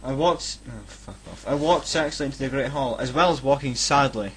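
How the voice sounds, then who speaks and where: A man's voice: medium pitch, fairly quick, a bit bright, a little hiss or hum. A Scottish man reading a story aloud in a casual, mocking way, breaking off for sweary, exasperated asides. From Scotland. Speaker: reader on a comedy fan fiction reading recording.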